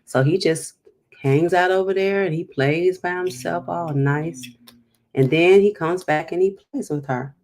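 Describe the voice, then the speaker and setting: A woman's voice: fairly low pitch, a little slow, darkish tone, a little hiss or hum. A woman hosting a storytime, reading a picture book aloud with chatty, encouraging, emphatic asides.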